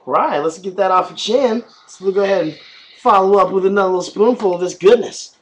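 A man's voice: high-pitched, brisk. A man in his thirties, a father talking playfully to his baby while filming a home vlog on a webcam. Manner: animated, singsong baby talk. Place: small room at home, indoors. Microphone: webcam at arm's length.

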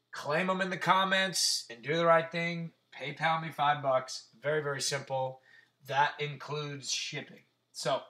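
A man with a raspy, sore throat hosting a casual card-breaking stream, chatting and swearing as he reels off player names. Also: fairly high pitch, sharp tone. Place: tabletop card-breaking setup indoors.

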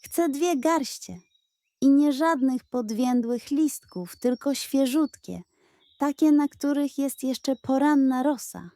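A woman voicing a shrewd, unimpressed female goat in a children's story, speaking in a deliberate, dry, bossy way. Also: sits high, lively intonation, warm tone, no room sound, voice pushed up, full character voice. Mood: firm, bargaining.

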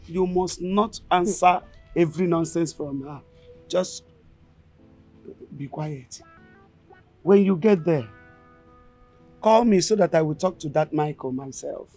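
A woman's voice: on the low side, takes it slowly, pauses often, mellow, soft background music. An actress with a Nigerian accent playing a bossy, protective, emotional village mother in a film drama. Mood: scolding. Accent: Nigerian Igbo-language region. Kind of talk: Nollywood-style film drama acting.

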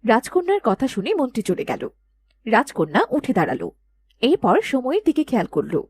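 A woman's voice: on the high side, huge pitch swings, moderate pace, a little mellow, slightly rough. A woman narrating a cartoon fairy tale in a scripted storytelling style.

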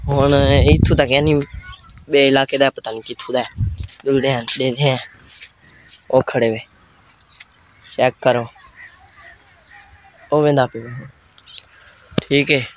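A man's voice: medium pitch, steady tone, slow, long pauses. A young man with a Saraiki accent from Pakistan, chatting casually and repetitively as he films a village vlog. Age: teens to young adult. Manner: informal, repetitive running commentary. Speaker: vlogger, host. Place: outdoors in village lanes, fields and walled yard. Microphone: phone at arm's length. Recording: noisy handheld phone recording.

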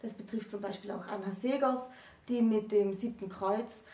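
A woman's voice: mid-range voice, fairly even intonation, normal speed, warm tone. A woman in her thirties hosting a conversational educational discussion, explaining articulately and posing questions. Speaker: host presenting a literature discussion. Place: small book-lined room, indoors. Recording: roomy sound, distant microphone.

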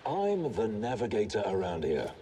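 A man's voice: fairly low pitch, huge pitch swings, moderate pace, mellow, a little hiss or hum. A man playing a contemptuous, zealous raider in a video game, speaking with condescension and grudging tolerance. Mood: disdainful. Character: haughty, contemptuous male raider, zealous Jedi hunter. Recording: faint game ambience behind.

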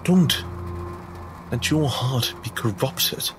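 A man's voice: mid-range voice, takes it slowly, over music, natural voice. Man playing an earnest, principled priest. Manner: earnest, moralizing, hesitant. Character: gentle, principled male priest adventurer. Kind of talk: in-character tabletop roleplay.

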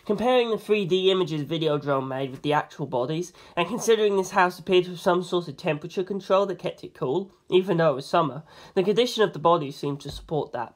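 Expressive storyteller reading a novel. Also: mid-range voice, fast talker. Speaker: amateur audiobook reader. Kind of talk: reading a novel aloud as first-person narration.

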